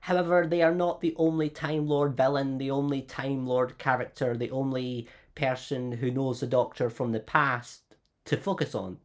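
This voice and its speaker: A man hosting his own channel, talking through fan theories in an upbeat, chatty way while he has a cold. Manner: upbeat, chatty, often rising in pitch. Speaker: YouTube channel host. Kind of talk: fan theory video commentary.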